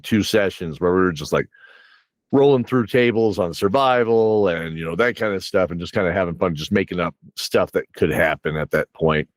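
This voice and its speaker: A man with a fairly low voice and a close, dry sound hosts a casual interview. He rambles through stories as a game master would, doubling back on words while he thinks. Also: dry recording. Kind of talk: casual podcast interview and storytelling.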